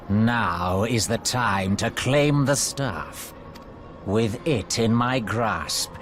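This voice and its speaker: An actor playing an arrogant, sinister sorcerer villain in a video game, with pompous, theatrical, archaic diction. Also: male voice, medium pitch, a bit bright, a little hiss or hum, exaggerated voice. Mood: gloating. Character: arrogant, sinister male dark sorcerer villain, gloating and condescending.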